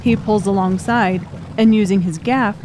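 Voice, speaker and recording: Female audiobook narrator, medium-pitched voice, scripted dramatic storytelling. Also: steady tone, normal speed, background noise.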